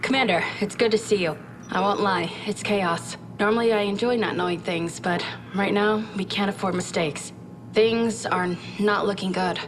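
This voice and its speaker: A woman's voice: medium pitch, moderate pace, over music, natural voice. A woman playing an earnest, candid scientist who briefs a military commander in a video game cutscene, speaking in a thoughtful, conversational way. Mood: grave, welcoming. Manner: conversational, thoughtful, with reflective asides. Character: earnest female scientist advisor, candid, inquisitive and troubled.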